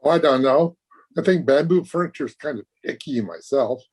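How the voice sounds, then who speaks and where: An actor playing an earnest, guileless teenage high school boy, chatting eagerly in an old-time radio comedy. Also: animated, brisk, a little mellow, husky. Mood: cheeky, breezy. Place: remote recording over video call.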